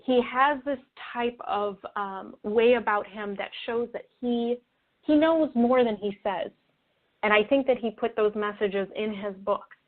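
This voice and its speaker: A woman's voice: fairly high pitch, measured pace. A woman interview guest explaining her views in an earnest, reflective, conversational way. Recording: tinny narrow-band phone or call line.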